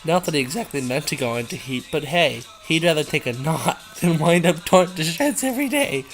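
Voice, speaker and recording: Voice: medium pitch, animated, brisk, faint music behind, rough recording. A host casually reading fan fiction aloud and rambling off into irreverent, giggly side comments. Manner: giggly, irreverent, easily sidetracked.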